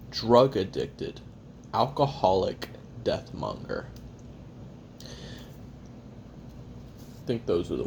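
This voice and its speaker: Man playing a low, gravelly, weary assassin. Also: darkish tone, a little hiss or hum, full character voice. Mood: weary recollection. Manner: halting, sardonic, casually profane, talking while eating. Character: weary, jaded male assassin recording private memoirs. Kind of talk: first-person confessional audio roleplay monologue.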